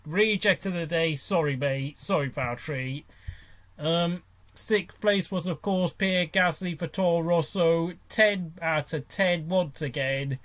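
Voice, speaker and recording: A man reviewing a motor race as host, talking off the cuff in a blunt, opinionated way with halting breaks mid-sentence. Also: on the high side. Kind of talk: unscripted race commentary and driver ratings.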